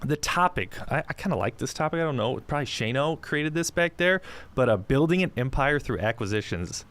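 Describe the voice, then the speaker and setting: A man's voice: medium pitch, expressive, brisk, a bit bright. A man hosting a podcast interview, upbeat and joking, with plenty of fillers and restarts. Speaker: podcast host. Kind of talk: casual interview chat.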